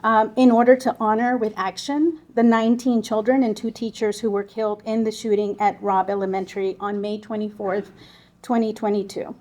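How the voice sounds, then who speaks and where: A woman in her forties from the Texas-Mexico border, a native Spanish speaker, giving a quick, earnest panel talk with small halts. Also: medium pitch, flat delivery, a little mellow. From Texas-Mexico border, Southwest Texas. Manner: quick, earnest, slightly halting speech. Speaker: panelist, volunteer group lead. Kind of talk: conference panel presentation.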